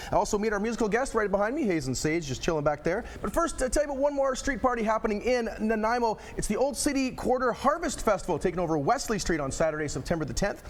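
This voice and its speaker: A man presenting an upbeat local TV magazine show in a chatty, jokey way. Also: high voice. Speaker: TV show host.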